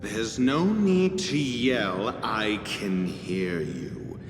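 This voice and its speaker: A man's voice: lowish voice, animated, very slow. A man voicing an aloof, haughty scholar in a video game, dry, curt and condescending. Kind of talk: video game cutscene voice acting. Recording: echoey hall reverb, loud music behind.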